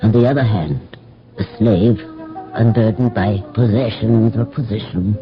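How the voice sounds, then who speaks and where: An actor playing a thoughtful, wry household slave who narrates a radio drama in a measured, articulate, formal way. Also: male voice, fairly low pitch, lightly acted. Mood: wry, reflective. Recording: muffled old radio broadcast with music.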